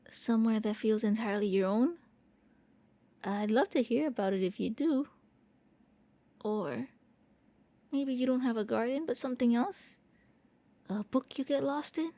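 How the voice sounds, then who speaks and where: A shy, eager vampire prince voiced by a woman speaks in a mellow, fairly high voice. The delivery is gentle and intimate, a soft ASMR roleplay monologue with a hesitant, boyish courtesy. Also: no echo. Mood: wistful, curious. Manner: gentle, hesitant, intimate, stammering when flustered.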